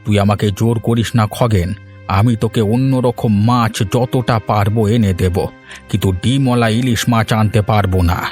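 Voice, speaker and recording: A man's voice: medium pitch, steady tone, moderate pace, darkish tone, faint music behind, natural voice. A man voicing a weary, pleading old village fisherman in a cartoon moral story. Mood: pleading, reluctant. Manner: pleading, reluctant.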